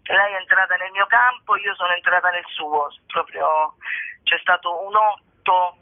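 A woman's voice: lowish voice, unhurried. A woman speaking casually in a phone interview as a performer, informal and playful, often thinking aloud. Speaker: performer and playwright, interview guest. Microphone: telephone call. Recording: tinny narrow-band telephone line.

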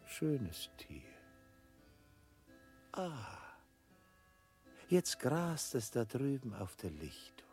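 Radio drama part: muddled, dreamy man musing in slow, halting murmurs, distant, with music underneath. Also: mid-range voice, big pitch swings, darkish tone. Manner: halting, murmuring, half-whispered fragments. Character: wistful, muddled man musing over a lost horse. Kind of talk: radio play monologue.

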